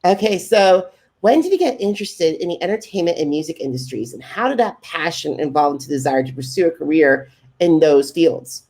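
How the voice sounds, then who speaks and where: A woman hosting an interview podcast, speaking haltingly with stumbles and repeated words. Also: deep voice, sing-song, darkish tone. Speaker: podcast host. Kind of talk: podcast interview, reading introduction and questions.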